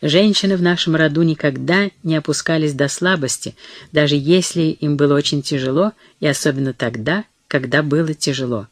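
A woman's voice: deep voice, a little fast, dry recording. A reader playing a stern, aristocratic old mother-in-law with a dry, cold manner in a storybook reading. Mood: contemptuous.

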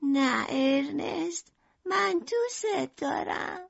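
Woman as a high, plaintive lovesick young girl. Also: animated, creaky, no room sound, lo-fi sound, exaggerated voice. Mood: tender, plaintive. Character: tender, lovesick young daughter.

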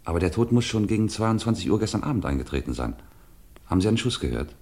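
An actor playing a composed, probing police commissioner in a German radio crime drama, speaking in a measured, courteous way. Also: male voice, fairly low pitch, big pitch swings, a little mellow. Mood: formal, probing.

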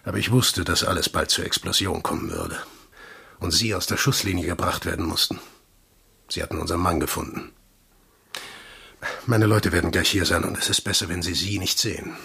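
An actor playing a gruff, weary, commanding intelligence chief in a German radio drama, terse and deliberate, with a husky, gravelly voice. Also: male voice, deep voice, sharp tone, exaggerated voice. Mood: curt, firm.

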